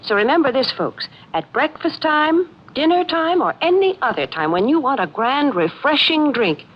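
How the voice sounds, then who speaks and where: A woman's voice: very animated, moderate pace. A woman as a cheery, wholesome sponsor's announcer in a 1940s radio advertisement, emphatic and chirpy. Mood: cheery selling. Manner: chirpy, emphatic sales pitch. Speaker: commercial announcer. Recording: muffled old radio recording, faint noise.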